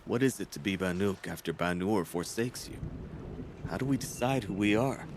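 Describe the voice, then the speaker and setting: Solemn, low-voiced outcast hunter. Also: male voice, noisy background. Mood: pensive. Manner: measured, earnest, questioning. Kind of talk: video game cutscene acting.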